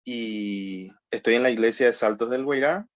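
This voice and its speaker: A man's voice: medium pitch, dry recording, dull audio. A man from Paraguay introducing himself plainly and reading a scripture passage. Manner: plain, unhurried, says "¿verdad?" often.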